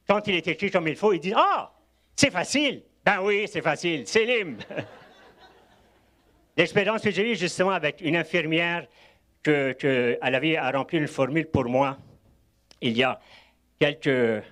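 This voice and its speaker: A man's voice: on the high side, lively intonation, unhurried, long pauses. An older man from Egypt, a guest preacher giving a sermon, telling anecdotes with chuckling asides. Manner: warm storytelling with asides and chuckles.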